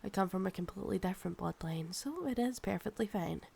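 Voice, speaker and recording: A woman's voice: mid-range voice, creaky, darkish tone, breathy edge. A woman with a Scottish accent playing a possessive, proud, seductive ancient vampire in an intimate ASMR roleplay, teasing and condescending. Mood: calm, firm. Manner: slow, teasing, condescending, calls listener darling.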